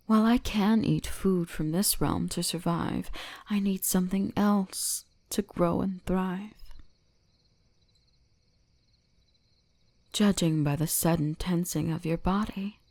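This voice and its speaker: A woman voicing a teasing, gently dominant kitsune shrine maiden in an intimate ASMR roleplay, often slipping into whispers. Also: fairly low pitch, gravelly, no room sound. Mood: seductive, menacing.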